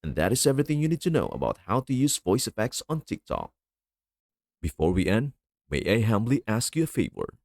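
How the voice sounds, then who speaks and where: A man with a low, gravelly voice narrates a tech tutorial step by step. His tone is polite and formal, and his pitch rises and falls a lot. The recording is dry and close. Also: big pitch swings, brisk, a little mellow, dry recording.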